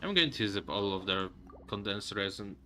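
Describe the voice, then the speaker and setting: A man's voice: fairly low pitch, expressive, fairly quick, bright, forceful tone, slight background noise. A man giving casual live commentary on a game, musing aloud to himself as he plays, with game music behind him. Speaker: game streamer.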